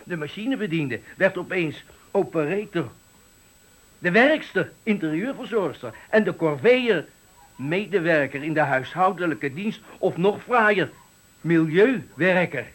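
An actor playing an embittered, eloquent factory worker of sixty-five, giving a dramatic, deliberate farewell speech in a radio play. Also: male voice, a little high, expressive, a bit bright, rough recording. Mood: indignant, impassioned. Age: 60s. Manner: oratorical, dramatic, deliberate phrasing. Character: embittered eloquent 65-year-old male factory worker at his retirement.

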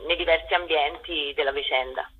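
A young woman, a theatre director, explaining her work informally in a radio interview, often pausing to search for words. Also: deep voice, steady tone, slightly rough, narrow, tinny audio.

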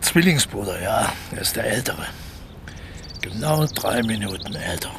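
An actor playing a wry, evasive ex-convict and failed actor in a naturalistic radio crime drama, reticent and resigned in manner. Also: male voice, on the low side, animated, measured pace, gravelly, noisy background. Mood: reflective, rueful. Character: Franz, wry, evasive failed actor turned art thief, male.

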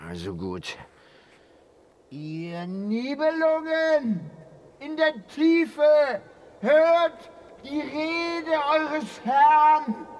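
A bitter dwarf tyrant in a fantasy radio play. The voice sits high and swings wildly, from hissed, scheming mutters to shrill orders, with drawn-out pacing over music. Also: male voice, heavily acted. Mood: commanding, incantatory.

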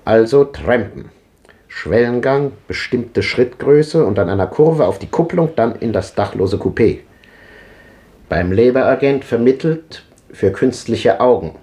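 A man's voice: medium pitch, expressive, measured pace, warm tone, close to an everyday voice. An actor playing a restless, brooding old man in a German radio drama of the 1950s, musing in clipped fragments. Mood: wry, recollecting. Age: older. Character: restless, brooding old man recalling rough odd jobs.

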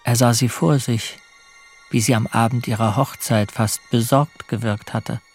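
An actor playing a gentle, ashamed middle-aged husband in a German radio drama, speaking with polite restraint. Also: male voice, mid-range voice, steady tone, measured pace, lightly acted. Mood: brooding. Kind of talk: German radio drama, introspective narration and dialogue. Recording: music behind the voice.

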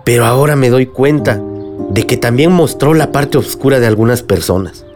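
An earnest, middle-aged village leader from a radio drama played by a man, speaking with a Mexican accent. He is a reflective, remorseful father with an ordinary mid-pitched voice, with music softly underneath. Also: normal speed, natural voice.

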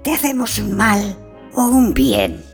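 A woman playing a mischievous, knowing sprite in a radio drama, deliberate and conspiratorial. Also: mid-range voice, huge pitch swings. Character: mischievous, knowing female sprite, gossiping about lovers. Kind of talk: stage-like radio drama acting. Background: music.

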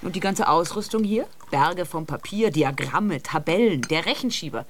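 An actress in a naturalistic radio drama playing a practical, sceptical sister with a dry, pointed manner. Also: female voice, fairly low pitch, expressive, normal speed, slight background noise, close to an everyday voice. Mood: disapproving, dry.

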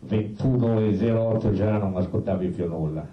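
A man telling stories in a live theatrical monologue, conversational and colloquial, with halting repetitions. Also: lowish voice, highs cut off. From Italy. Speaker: stage storyteller. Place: live performance space with musicians. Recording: roomy live stage pickup, distant, faint noise.

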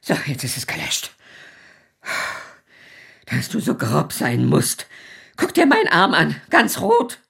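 An actress playing a stubborn, touchy older mother, curt and reproachful, with a husky, creaky voice, in a radio drama. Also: deep voice, huge pitch swings, slow, long pauses, a bit bright, heavily acted. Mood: reproachful, sullen. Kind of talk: naturalistic German radio drama acting.

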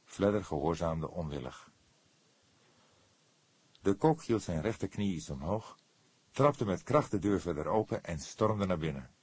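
A male narrator reads a scripted detective story. His voice is deep and husky. The recording is very dry, with hardly any room sound. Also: lively intonation, unhurried, long pauses, darkish tone, dry recording. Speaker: audiobook narrator.

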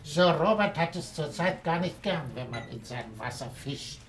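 A man's voice: on the high side, animated, moderate pace, natural voice. A man playing a hearty, chatty country innkeeper in a radio play, jovial and confiding, a little hesitant and cautious. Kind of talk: German radio play acting.

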